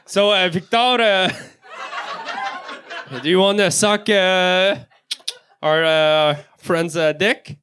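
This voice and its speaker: A man's voice: high-pitched, fairly even intonation, takes it slowly, pauses often, fairly bright tone, smooth. A man from Quebec whose first language is French, a playful podcast guest. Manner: playful, egging others on. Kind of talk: casual comedic banter.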